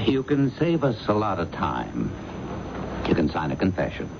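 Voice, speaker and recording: A shrewd police inspector from an old radio mystery. His low, gravelly voice moves expressively as he probes and insinuates with patient, dry needling. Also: wildly swooping pitch, a little slow, full character voice. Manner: probing, dryly insinuating. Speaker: radio drama actor. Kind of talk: 1970s radio drama acting. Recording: muffled, noisy old radio broadcast recording.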